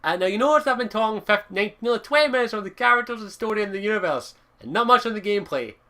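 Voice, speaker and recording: A young Lowland Scottish man talks through a game review with bright, forceful energy and a voice pitched a little high for a man. He swears freely, circles back on his words and keeps the mood loose and chatty. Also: lively intonation, fast talker. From Scotland. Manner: enthusiastic, frequent swearing, restarts and fillers. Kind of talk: casual video game review monologue.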